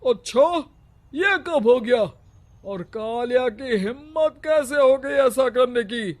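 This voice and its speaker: A man's voice: extremely high pitch, slow pace. A man playing a harsh village headman. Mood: stern. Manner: harsh, commanding. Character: stern domineering village headman.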